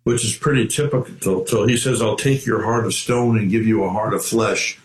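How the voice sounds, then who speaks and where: A man hosting a faith interview, lively, who drops Spanish phrases into his questions. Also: on the low side, moderate pace. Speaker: host. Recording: roomy echo.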